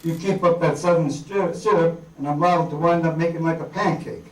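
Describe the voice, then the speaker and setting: Guy doing a wisecracking noir radio hero, kinda higher male voice, slangy and flirty, distant echoey room. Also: fairly even intonation, moderate pace, lightly acted. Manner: wry, slangy. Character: wisecracking hardboiled male detective-drifter, flirtatious, down on his luck. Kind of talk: 1950s radio noir acting, read by an amateur performer. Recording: echoey hall, distant microphone.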